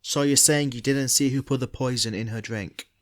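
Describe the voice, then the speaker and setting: A man playing an earnest, polite detective sidekick in a podcast drama, asking questions in a measured way. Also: mid-range voice, steady tone, lightly acted. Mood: inquiring. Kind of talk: podcast audio drama acting.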